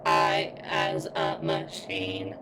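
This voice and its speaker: A woman voicing a guarded robot girl who yearns for sentience in an ASMR roleplay, speaking in stilted, formal, halting phrases with a strained, pained sound. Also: extremely low pitch, flat delivery, background noise, heavily acted. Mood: strained, clinical. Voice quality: strained, as if in constant pain.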